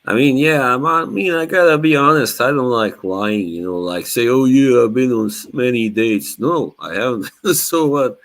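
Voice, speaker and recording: A man's voice: mid-range voice, moderate pace, few pauses. A young man from Bosnia, whose first language is Bosnian, hosting a casual live chat in a laid-back way with frequent laughs. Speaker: live stream host.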